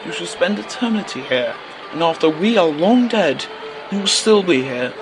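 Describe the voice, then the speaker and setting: A man's voice: high-pitched, lively intonation, normal speed, over music. A man playing a stern, merciless judge in an audio drama, speaking formally and declaiming each sentence. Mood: cold, solemn. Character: stern, merciless male judge of an alien court.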